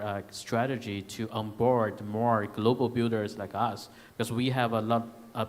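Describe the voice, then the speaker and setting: A man with a Hong Kong accent asking a spontaneous, halting question from a conference audience. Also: on the low side. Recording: echoey noisy hall.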